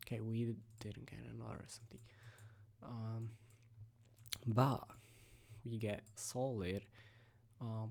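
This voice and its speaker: A teenage young man speaks in a dark, mellow voice with heavy fry, roughened by recent sickness. He gives a slow, casual recap of his trades. He hesitates often, thinking aloud and letting phrases fade toward a whisper. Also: mid-range voice. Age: teenager, about 17. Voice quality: rough, breathy, creaky. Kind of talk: casual screen-recap commentary.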